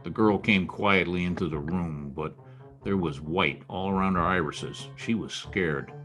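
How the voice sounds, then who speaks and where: A hardboiled private eye played by a man from an old-time radio noir. His voice sits fairly low, world-weary and wry, delivering sardonic tough talk over faint music. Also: unhurried, a bit bright. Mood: grim narration. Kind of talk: old-time radio noir acting and first-person narration.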